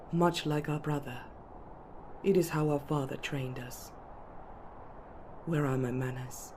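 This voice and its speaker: A woman playing a composed, commanding eldest elf warrior in a fantasy roleplay, speaking in a stern, formal, measured way. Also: low voice, background noise. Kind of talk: fantasy audio roleplay acting.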